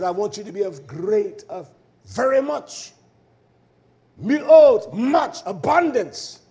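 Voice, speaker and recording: A man's voice: very high-pitched, a little mellow. A man delivering a fiery verse-by-verse sermon as a preacher, stern and combative, repeating key words for emphasis. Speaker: preacher and Torah teacher.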